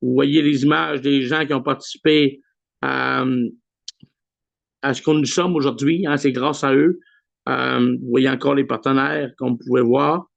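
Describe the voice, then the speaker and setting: Gravelly Québécois man hosting a video call. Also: medium pitch, fairly even intonation, dry recording. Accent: Québécois French. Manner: hesitant, many pauses and "euh" fillers. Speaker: host of a weekly video call. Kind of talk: casual live address on a video call. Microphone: computer mic on a video call. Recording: dull lo-fi video call audio.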